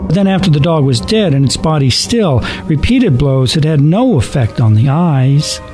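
A man narrating a horror radio play in a deliberate, dramatic storytelling manner. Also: a little high, animated, music in the background.

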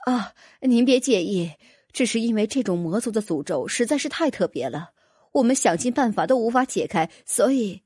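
A woman's voice: on the high side, animated, normal speed, a little mellow, husky, no room sound, clean studio sound. A woman voicing an anxious dragon noblewoman in a fantasy audiobook, earnestly recounting a frightening ordeal with apprehension. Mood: nervous, polite.

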